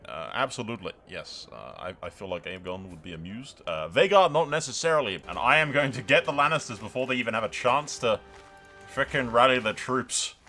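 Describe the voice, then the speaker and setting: Bright-voiced man rambling through game commentary. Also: medium pitch. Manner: rambling, irreverent, heavy swearing. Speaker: gaming video host. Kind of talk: casual game playthrough commentary.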